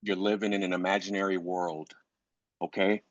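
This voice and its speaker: A man's voice: deep voice, flat delivery, normal speed, no room sound, lo-fi sound, close to an everyday voice. An actor playing a grieving, furious father in a dramatic script reading, speaking emphatically and incredulously, repeating phrases for weight. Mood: bitter, dismissive.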